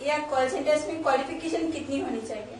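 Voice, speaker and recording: A woman's voice: sits high, fast talker, crowd noise. Woman interviewer, distant and echoey. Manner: short questions and brief acknowledgements. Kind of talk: interview questions. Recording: echoey room, distant mic, faint noise.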